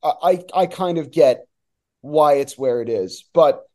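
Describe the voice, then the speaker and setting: A man's voice: fairly high pitch, unhurried, mellow. A man talking as a sports announcer guest, giving emphatic, opinionated analysis in a conversational way.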